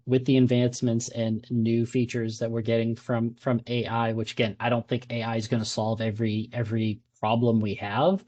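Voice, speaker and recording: A man's voice: mid-range voice, a little mellow. A man with a Boston accent giving a conversational presentation at a user group meetup, with frequent fillers and restarts.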